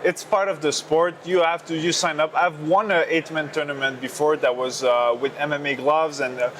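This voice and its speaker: A young man from Canada with a French accent, speaking as a kickboxer in an interview, earnest and halting, often restarting his sentences. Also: on the high side, fairly even intonation, a bit bright. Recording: noisy background.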